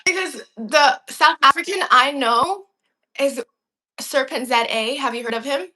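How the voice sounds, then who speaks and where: A woman's voice: high voice, lively intonation, unhurried, sharp tone, poor audio. A young woman from China hosting a casual livestream chat over a video call, asking her guest questions. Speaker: livestream host.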